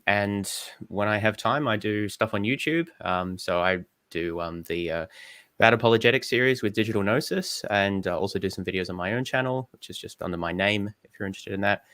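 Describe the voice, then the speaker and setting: A young Australian man with a lowish voice, recorded close and dry with no room sound. He speaks modestly and haltingly as a guest introducing himself on a livestream panel. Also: fairly bright tone, no echo. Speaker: guest, doctoral student.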